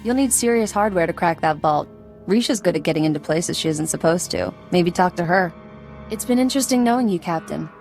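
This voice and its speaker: A woman's voice: on the low side, animated, a little fast, darkish tone, faint music behind. A woman playing a streetwise, confident smuggler in a video game, teasing and sardonic. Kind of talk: video game character acting.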